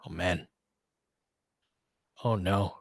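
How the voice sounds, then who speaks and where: A man's voice: deep voice, drawn-out words, gravelly, no echo, clean studio sound. A man giving casual live basketball play-by-play and fan analysis, talking conversationally and thinking aloud in fragments.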